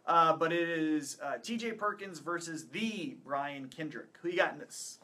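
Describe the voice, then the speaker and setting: Guy in his 30s, bright punchy voice kinda high, casual podcast co-host chatting picks. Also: measured pace. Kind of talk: casual wrestling predictions chat. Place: small indoor studio with acoustic foam panels.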